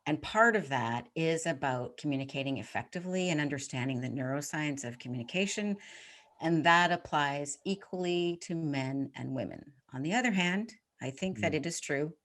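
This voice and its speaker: A middle-aged woman with a low voice for a woman, answering interview questions as a communication coach in an articulate, confident way. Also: animated, normal speed, a bit bright. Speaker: podcast guest, communication coach.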